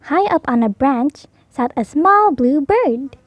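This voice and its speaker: A woman reads a children's storybook in a high, very animated voice. She takes her time with each phrase. The recording is dry and a little dull. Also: slow, dry recording. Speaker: storybook read-aloud narrator. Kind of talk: slow children's storybook narration.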